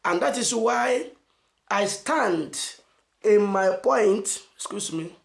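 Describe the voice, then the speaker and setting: A man's voice: sits high, vocal fry. Nigerian man preaching in an echoey room. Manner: emphatic, rhetorical questions, slow stressed phrases. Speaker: channel host. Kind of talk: motivational preaching to camera.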